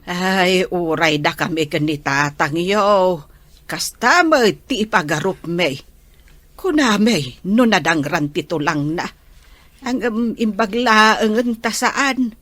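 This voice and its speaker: A woman's voice: fairly low pitch, lively intonation, slow pace, a bit bright, no room sound, full character voice. A woman with an Ilocano Filipino accent playing a caring, soothing old grandmother in an audio drama. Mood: explaining.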